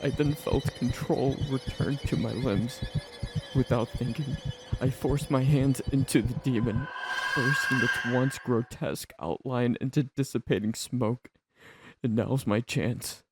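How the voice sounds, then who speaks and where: A man narrating a first-person horror story in a tense, dramatic manner with theatrical pauses. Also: medium pitch, noisy background.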